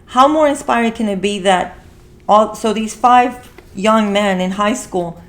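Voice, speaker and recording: A woman's voice: mid-range voice, unhurried. A woman speaks as a talk show host in a casual review discussion. Her delivery is earnest and conversational, with a mid-range voice, and she circles back to repeat phrases for emphasis. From Mexican heritage.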